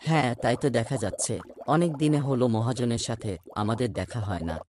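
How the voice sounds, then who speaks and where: A man's voice: medium pitch, normal speed, background noise, close to an everyday voice. A man playing a hardworking, respectful village fish farmer in a cartoon, earnest and plain-spoken. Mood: hopeful. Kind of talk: cartoon voice acting.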